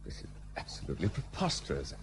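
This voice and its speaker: An actor playing a curt, cynical British gentleman in colonial India, clipped and formal, in a period radio play. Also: fast, a little hiss or hum, close to an everyday voice. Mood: stiff, disapproving.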